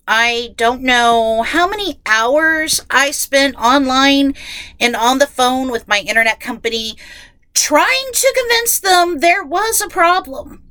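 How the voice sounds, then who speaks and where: Female podcast host, young adult, nasal with a head cold, chatty and self-mocking, bright tone, dry closet sound. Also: high-pitched, smooth, dry recording. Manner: chatty, self-deprecating, many restarts. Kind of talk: casual podcast chat. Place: closet at home.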